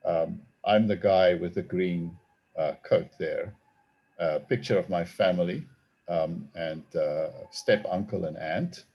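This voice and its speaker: A middle-aged man with a South African accent, a theology professor giving an online talk about his book in a measured, thoughtful way with frequent ums, heard over a video call. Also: deep voice, husky, dull audio.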